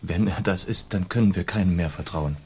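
An actor playing a concerned, loyal gentleman in a radio play, speaking in an insistent, questioning way. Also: low voice, fairly quick, slightly rough, full character voice. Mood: dismayed.

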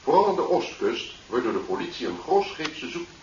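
Formal news announcer, swingy intonation, roomy old hissy recording. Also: male voice, fairly high pitch, sing-song, brisk. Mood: formal, urgent. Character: formal, authoritative government-line newsreader. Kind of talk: scripted news bulletin in radio drama. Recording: echoey, dull, hissy old broadcast.

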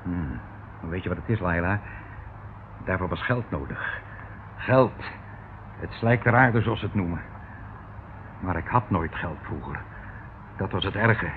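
An actor in a radio play playing a pompously cultured, patronising uncle who dotes falsely and evades every question. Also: male voice, deep voice, animated, measured pace, pauses often. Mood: boastful, wistful. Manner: theatrical, patronising, rambling, chuckling. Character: unctuous, evasive uncle captor, pompously cultured, falsely doting. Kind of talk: Dutch radio play acting. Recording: tinny, hissy old radio play recording.

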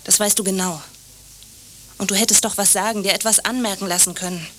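A woman's voice: medium pitch, fairly even intonation, brisk, a bit bright, close to an everyday voice. A woman playing an anxious, clinging, lovestruck landlady in a naturalistic radio drama, insistent and repeating her short phrases. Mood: anxious, pleading.

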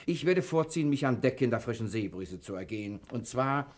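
An actor playing a suave, self-assured gentleman thief in a 1960s German radio crime drama, speaking with polite, flowery mock courtesy. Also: medium pitch, expressive, brisk, close to an everyday voice. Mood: smug, commanding.

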